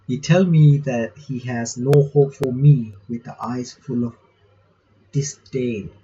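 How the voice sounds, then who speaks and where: Muffled, mellow man doing casual game commentary. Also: medium pitch, takes it slowly. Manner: informal, reacts aloud between read lines. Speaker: reaction channel host playing a visual novel. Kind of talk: casual playthrough commentary, reading game text aloud. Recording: muffled lo-fi mic with faint noise.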